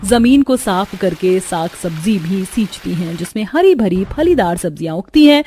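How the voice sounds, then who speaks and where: A woman's voice: medium pitch, lively intonation, moderate pace, mellow, slight background noise. A woman narrating a scripted cartoon story as its storyteller.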